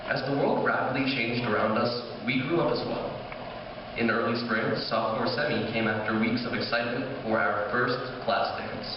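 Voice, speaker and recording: A young man, a high school class officer, reading a scripted speech aloud at a school ceremony. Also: fairly even intonation, moderate pace. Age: young adult. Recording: distant echoey hall, muffled, noisy.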